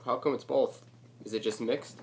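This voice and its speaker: A man's voice: mid-range voice, steady tone, moderate pace, gravelly. A man with an ordinary mid-range voice hosts homemade prank calls in a crude, joking, rambling way. He swears casually as he chats. The recording is homemade, with a faint layer of noise. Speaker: prank caller hosting his own video. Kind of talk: casual chat, crude and profane. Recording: noisy homemade call recording.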